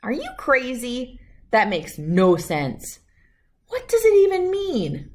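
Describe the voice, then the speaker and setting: A woman's voice: a little high, wildly swooping pitch, slow pace, voice pushed up, full character voice. Woman as an emphatic, exasperated grown-up storybook doubter. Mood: incredulous. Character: stern, exasperated father and older doubters of a boy genius.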